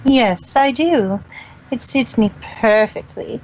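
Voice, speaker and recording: A woman's voice: a little high, slow, darkish tone. A woman voicing a smug, cold, imperious pony mayor with a haughty, commanding, formal way of speaking in an amateur fan fiction reading. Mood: smug approval. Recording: muffled with clear background noise.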